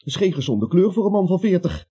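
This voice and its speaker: A man's voice: sits high, sing-song, a little fast, warm tone, breathy edge, full character voice. An actor playing a frightened, bewildered, sleepy little man in a comic radio play, stammering and protesting. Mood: anxious babbling. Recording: dull lo-fi radio recording.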